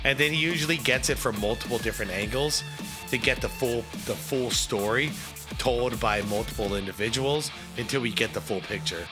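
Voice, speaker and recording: A man with a hoarse voice from a cold, chatting casually with many fillers as he hosts a reaction video. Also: medium pitch, a little fast, music in the background.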